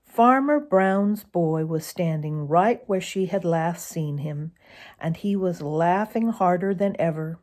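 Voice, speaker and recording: Slow, expressive woman reading a storybook. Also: fairly low pitch. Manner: deliberate, dramatic, addresses young listeners with questions. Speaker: storybook reader. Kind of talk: expressive children's story narration.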